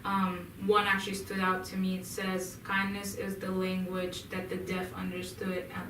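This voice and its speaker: A young woman from Egypt answering interview questions in a hesitant way, with many pauses and restarts. She is recorded in an echoey room with the mic some distance away. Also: fairly low pitch, monotone, noisy background. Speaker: interview guest.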